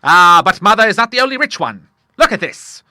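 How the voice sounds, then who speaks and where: A man's voice: fairly high pitch, very animated, fairly quick, bright, forceful tone, no room sound, clean studio sound, voice pushed up, exaggerated voice. A man voicing a hearty, boisterous old Admiral in an audiobook, bluff and full of exclamations. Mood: hearty, eager. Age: older. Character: hearty, blustering old Admiral, a proud father. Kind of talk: audiobook character voice.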